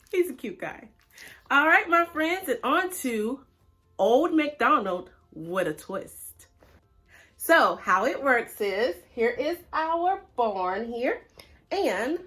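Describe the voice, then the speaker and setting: A woman's voice: a little high, a bit bright. A woman hosting a preschool storytime, playfully talking straight to the young children. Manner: playful, addresses children directly.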